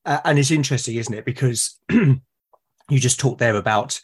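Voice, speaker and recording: A man's voice: medium pitch, moderate pace, breathy edge. A man with a British accent chatting casually and earnestly as a podcast guest. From Britain. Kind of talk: casual conversation.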